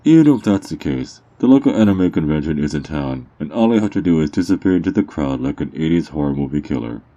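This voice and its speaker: Guy doing a sly mocking drug dealer for asmr roleplay, super dark velvety low tone, smug drawn out words, kinda dull audio. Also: expressive, fast. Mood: smug, defensive. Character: sly, mocking underground drug dealer peddling vampire-blood drug. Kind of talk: ASMR character roleplay monologue.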